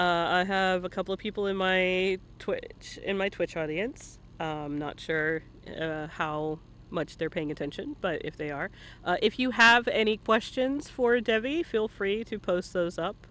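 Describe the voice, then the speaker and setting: A man's voice: high voice, measured pace, fairly bright tone. A man hosting a casual livestream interview, chatting and telling stories in a playful way. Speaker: interviewer and stream host. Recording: live online stream audio.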